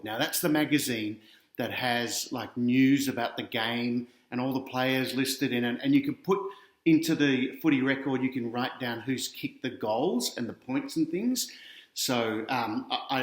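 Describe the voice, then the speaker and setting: A man's voice: mid-range voice, echoey room. An Australian man reading a children's picture book aloud, adding chatty asides and talking directly to young listeners.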